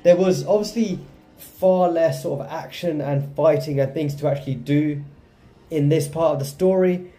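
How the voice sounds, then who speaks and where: A man's voice: fairly high pitch, moderate pace, dark tone, soft background music. A man hosting a gaming video with casual gameplay commentary.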